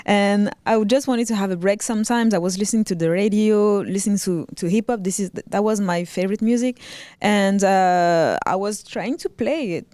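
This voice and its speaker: A young woman with a French accent, a violinist chatting as a guest in a casual interview, soft-spoken with frequent ums and restarts. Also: medium pitch, moderate pace, dry recording, studio quality. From Reunion Island, raised in Paris.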